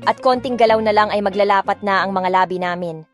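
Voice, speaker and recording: A woman's voice: mid-range voice, a little fast, music in the background. A woman from the Philippines reading a first-person romance audiobook, dramatic and indignant, switching between Tagalog and English. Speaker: audiobook narrator.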